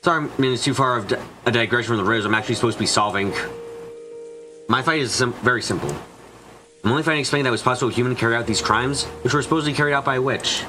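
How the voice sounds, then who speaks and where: A man doing a dramatic reading of visual novel dialogue as a streamer, now and then stumbling over words. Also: mid-range voice. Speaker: let's play streamer. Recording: music behind the voice.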